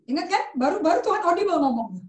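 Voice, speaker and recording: A woman's voice: extremely high pitch, big pitch swings, fast talker, darkish tone, roomy sound. A woman pastor teaching in a conversational sermon style, mixing in English words and asking rhetorical questions.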